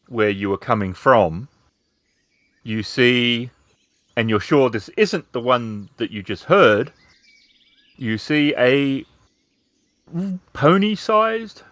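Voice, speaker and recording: A man narrating a tabletop roleplaying game as game master, measured and building suspense for the players. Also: mid-range voice, expressive, fairly bright tone, no echo. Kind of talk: improvised second-person narration of a tabletop game.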